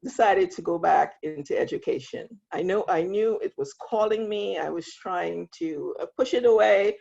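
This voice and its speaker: A middle-aged woman from Guyana speaks in a husky, gravelly voice. She tells her story reflectively and laughs often. The video-call audio sounds muffled and lo-fi. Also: fairly low pitch, normal speed, darkish tone. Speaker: guest, school leader. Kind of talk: interview, personal story.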